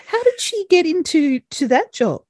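A woman's voice: extremely high pitch, very animated, a little mellow, no room sound. A woman hosting a podcast interview, keeping the talk going with friendly, short questions. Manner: friendly, quick to laugh along, short prompts. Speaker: podcast host.

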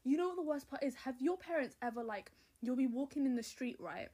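A woman's voice: sits high, lively intonation, brisk, mellow, husky. A young woman from England co-hosting a podcast, chatting casually, joking and laughing often. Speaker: podcast co-host. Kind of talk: casual chat.

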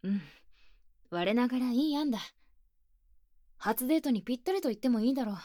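A woman playing an earnest, bookish high-school club president with stiff, boyish phrasing, speaking softly and close in a Japanese ASMR voice drama. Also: lively intonation, a little fast, no echo, close to an everyday voice. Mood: earnest, bashful. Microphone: close binaural microphone, dry.

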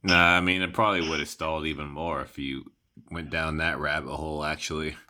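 A man's voice: extremely low pitch, flat delivery, a little fast, pushed and bright. A man hosting a casual interview, asking questions in an informal, encouraging way. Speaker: interviewer, podcast host.

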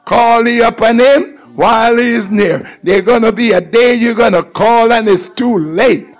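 A man's voice: very high-pitched, unhurried. A man preaching a fervent call-and-response sermon, exhorting listeners and repeating phrases with emphasis.

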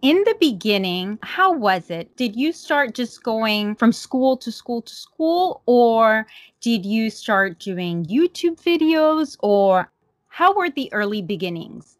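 A woman's voice: fairly high pitch, big pitch swings, slow pace, no echo, studio quality. A woman from Medellín, Colombia with a Colombian accent, hosting an interview and asking encouraging questions. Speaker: podcast host. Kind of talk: interview conversation.

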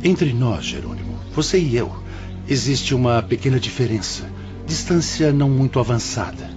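A man's voice: mid-range voice, expressive, normal speed, over music, close to an everyday voice. An actor playing a serene, humble older male spirit who directs an afterlife hospital in a religious radio drama, speaking solemn, formal, patient Brazilian Portuguese.

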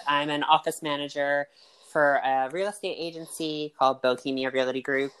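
Male guest with a high, bright-sounding voice, expressive and quick to laugh. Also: smooth. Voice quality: high-pitched voice. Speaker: podcast guest. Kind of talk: podcast conversation.

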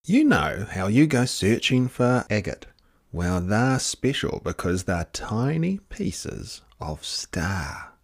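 Man as fretful old grandmother, storybook character, hushed asides, very animated, husky. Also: on the low side, slow, exaggerated voice. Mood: confiding. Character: excitable, fretful old grandmother who tells star tales.

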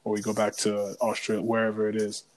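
A man's voice: lowish voice, monotone, fast talker, slight background noise. A man co-hosting a podcast, talking in a casual, reflective and emphatic way with slangy phrasing. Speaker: podcast co-host.

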